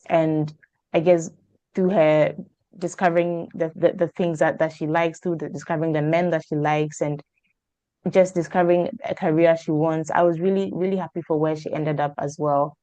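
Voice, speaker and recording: Woman, book club panelist, lowish voice, very dry sound, hesitant conversational delivery with repeated words. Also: steady tone, normal speed, dark tone, dry recording. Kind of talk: casual book discussion.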